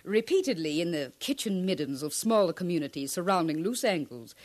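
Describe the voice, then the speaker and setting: A woman's voice: fairly low pitch, darkish tone. An actress playing a confident, learned woman scientist in a 1950s radio drama, lecturing in precise, formal phrases.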